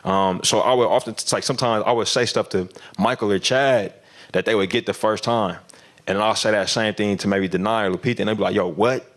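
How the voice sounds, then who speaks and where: A man with a fairly low voice talks through his answers in a relaxed interview. He stumbles and restarts often, fills gaps with "you know", and breaks into laughs along the way. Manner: halting, many restarts and fillers, laughs often. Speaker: film director guest. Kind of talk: casual interview conversation.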